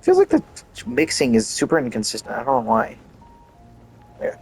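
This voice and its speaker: A man's voice: medium pitch, wildly swooping pitch, normal speed, darkish tone, husky, soft background music. A man giving casual playthrough commentary, rambling and thinking aloud as he goes. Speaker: gaming video host.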